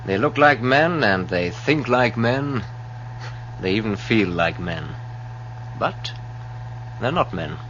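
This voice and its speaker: An actor playing a grave, knowing man in a sci-fi radio drama, speaking in slow, ominous, terse lines. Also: lowish voice, animated, a bit bright. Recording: dull, noisy old radio recording.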